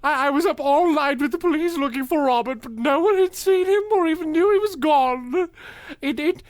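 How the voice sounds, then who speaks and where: A woman's voice: high voice, moderate pace, darkish tone, no room sound, lightly acted. A woman playing a distraught, frantic wife in a radio-style comedy, stammering through sobs. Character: distraught, hysterical wife of a missing man. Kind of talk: old-time radio comedy acting.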